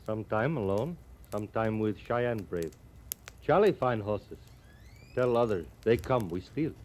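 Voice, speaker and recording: An actor playing a cheerful, guileless Crow scout and horse thief in a 1950s radio western, speaking halting broken English and calling himself by name. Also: male voice, fairly low pitch, animated. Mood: matter-of-fact. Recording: old radio recording with background noise.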